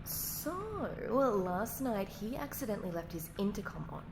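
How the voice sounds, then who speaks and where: A woman's voice: mid-range voice, expressive, a little slow, gravelly, noisy background. Woman acting a gossipy office colleague, echoey room. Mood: conspiratorial. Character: gossipy female office colleague, sly and conspiratorial. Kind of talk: audio roleplay acting.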